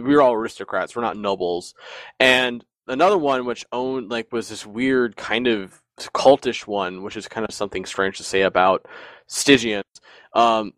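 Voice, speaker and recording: Brief: lower-pitched man, dry close sound, animated rambling storyteller who laughs a lot. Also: no room sound. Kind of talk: casual podcast chat.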